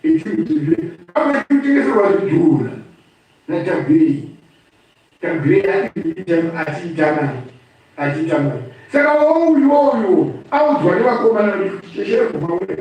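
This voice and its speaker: Male, Zimbabwean accent, high pitch, emphatic political storytelling, repeated phrases, heavy room echo. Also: sing-song, normal speed, darkish tone. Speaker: political speaker. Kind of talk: impromptu political address, mixing two languages. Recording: very echoey room, distant microphone.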